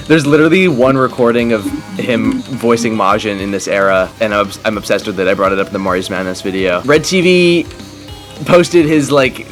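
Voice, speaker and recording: A man's voice: fairly low pitch, a bit bright. Rambling young man over game music. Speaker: gaming video host. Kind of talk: casual chatty game commentary with friends. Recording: background music under the voice.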